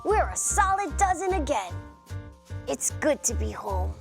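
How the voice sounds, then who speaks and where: An earnest, worrying egg from a children's storybook, acted out by a woman. The voice is high and very animated, with slow, deliberate phrasing. It sounds eager to please and expressive, with some creak at the edges. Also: music in the background, full character voice. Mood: content. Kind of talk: scripted children's read-aloud.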